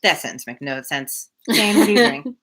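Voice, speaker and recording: Bright-voiced woman reading on a livestream. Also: low-pitched, very animated, moderate pace. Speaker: livestream reader and host. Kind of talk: live novel reading with casual asides.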